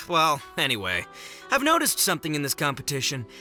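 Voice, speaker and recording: A brash, bombastic young gang boss from a video game. His delivery is extremely animated and forceful, boastful and goofy, with faint music underneath. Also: mid-range voice, normal speed, heavily acted. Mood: cocky.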